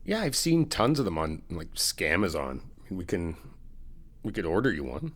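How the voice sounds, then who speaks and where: A man's voice: low voice, very animated, moderate pace, a bit bright. A man in a friend roleplay, chatting casually and upbeat to the listener, laughing often and teasing. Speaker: ASMR roleplay creator playing a best friend.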